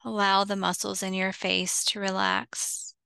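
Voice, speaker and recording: Slow-spoken woman guiding meditation, smooth voice. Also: medium pitch, little pitch movement, sharp tone, no room sound, rough recording. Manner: soothing, unhurried, with long pauses between short phrases. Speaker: guided meditation teacher. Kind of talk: guided visualization, slow meditation instruction.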